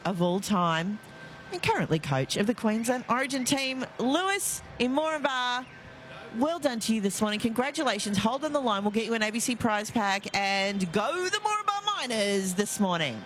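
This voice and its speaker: A woman's voice: fairly high pitch, animated, moderate pace, a bit bright. A woman with an Australian accent hosting live talkback radio outdoors, chatty and self-mocking, laughing easily. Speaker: radio host. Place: outdoors outside a stadium, live broadcast. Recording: faint outdoor background noise. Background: faint ambient noise.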